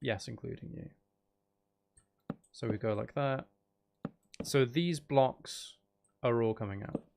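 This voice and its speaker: A man's voice: mid-range voice, takes it slowly, gravelly, long pauses, a little mellow. A man giving casual live gameplay commentary, rambling and thinking aloud as he goes. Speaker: gaming streamer. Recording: faint background noise and clicking.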